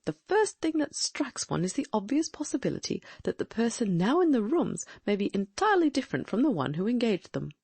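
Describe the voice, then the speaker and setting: A woman's voice: a little high, sing-song, brisk, hardly any pauses, a little mellow, husky, dry recording, full character voice. A woman voicing a brilliant, confident Victorian detective in an audiobook, reasoning aloud with precise diction. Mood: deducing. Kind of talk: audiobook dramatic reading.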